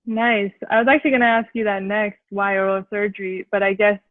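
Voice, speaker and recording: Woman interviewer, mid pitch, friendly, tinny phone-like line, dry sound. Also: little pitch movement, brisk, no echo. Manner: friendly, read-out introduction then loose questions. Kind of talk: casual interview hosting.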